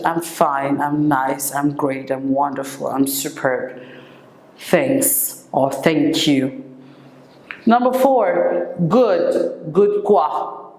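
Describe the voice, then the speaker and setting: A woman's voice: lowish voice, a little mellow, slight background noise. A woman teaching an English lesson, repeating each phrase and translating it into French. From Senegal. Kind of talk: classroom lesson, switching between English and French. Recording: echoey room.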